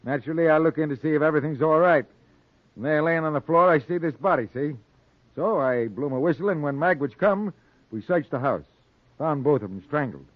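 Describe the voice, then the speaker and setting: Tinny old-radio police sergeant, pitched high man acting. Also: animated, brisk, dry recording, close to an everyday voice. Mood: matter-of-fact. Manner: folksy, ungrammatical storytelling. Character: plain-spoken veteran police sergeant on the beat. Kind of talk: 1940s American radio noir acting. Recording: tinny, dry 1940s radio recording.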